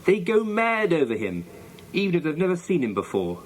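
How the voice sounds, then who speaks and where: A man's voice: on the high side, wildly swooping pitch, fairly quick, voice pushed up. A man voicing a smug young girl in a children's storybook, proud and condescending. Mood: boastful. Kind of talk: children's storybook character reading.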